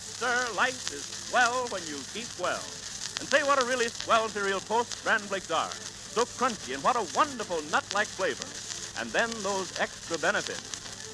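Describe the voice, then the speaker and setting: A man announcing in emphatic, showy 1930s radio style, on a crackling old transcription disc. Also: high-pitched.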